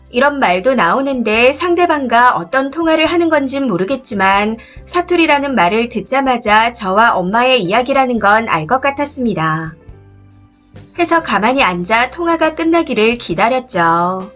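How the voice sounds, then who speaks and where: A woman narrating a first-person story in a calm, even scripted read. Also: fairly high pitch. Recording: soft music behind the voice. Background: faint background music.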